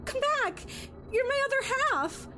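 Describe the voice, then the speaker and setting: A woman's voice: extremely high pitch, animated, a little slow, music in the background. A woman playing a sweet, needy, clinging emotion spirit in an audio drama, theatrical and pleading. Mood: desperate, clingy.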